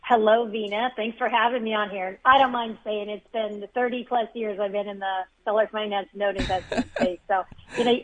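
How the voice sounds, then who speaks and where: A woman's voice: medium pitch, fairly even intonation, a little fast, few pauses, dry recording. A woman in her fifties from the United States, a guest on an interview who tells her story in a friendly, self-deprecating way. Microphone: phone. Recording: tinny phone line.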